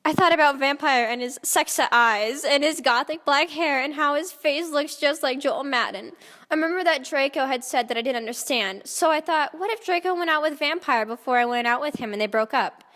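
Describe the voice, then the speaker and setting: A woman's voice: sits high, brisk, fairly bright tone. A woman giving a theatrical, comedic dramatic reading of fan fiction as the narrator. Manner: theatrical, often breaking into laughter. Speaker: narrator, reader. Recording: long hall reverb.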